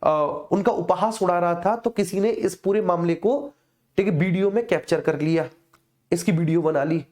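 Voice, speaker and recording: Woman, coaching teacher, slightly low pitch, soft dark tone, didactic, repetitive check-in phrases. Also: moderate pace. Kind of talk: informal live class explanation.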